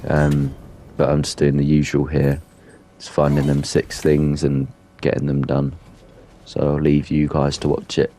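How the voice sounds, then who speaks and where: A man's voice: rumbling low, monotone, a little slow, warm tone, a little hiss or hum. A man giving casual commentary over a game playthrough in a hesitant, rambling way. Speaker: gameplay streamer.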